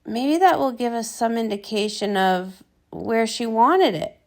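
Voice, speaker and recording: A woman's voice: fairly high pitch, lively intonation, measured pace. A woman doing a theatrical read-along of a comic, switching between character voices.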